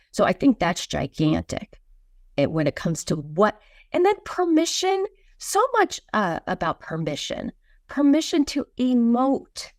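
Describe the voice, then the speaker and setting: A woman answering as a guest author in a reflective interview style, deliberate and pausing between phrases. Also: mid-range voice, a little mellow, no echo, clean studio sound. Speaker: guest, children's book author.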